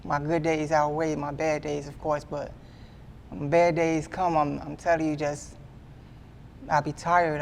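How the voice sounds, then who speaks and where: Earnest young man, gravelly voice. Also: fairly high pitch, a little hiss or hum. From Midwestern US. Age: 20s. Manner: earnest, repeats himself, halting restarts. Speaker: guest artist. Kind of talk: candid sit-down interview.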